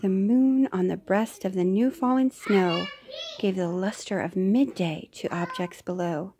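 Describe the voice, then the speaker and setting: A woman's voice: medium pitch, measured pace, background noise. Mother reading a storybook aloud. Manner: gentle, with soft whispered asides.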